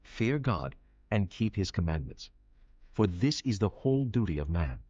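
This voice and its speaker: A man performs as a grave, solemn narrator of scripture with a low-pitched voice. He reads in measured phrases for an audio Bible. The sound is somewhat dull. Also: very animated, dark tone. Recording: dull, roomy, muffled audio.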